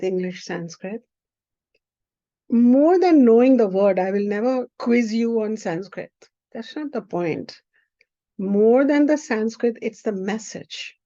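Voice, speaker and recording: A woman whose first language is Hindi, teaching a spiritual class at a very slow, deliberate pace. Her voice is warm and mellow. She keeps checking that the listener follows, and the recording is dry and a little dull and lo-fi. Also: medium pitch, dry recording. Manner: unhurried, often checks in with the listener.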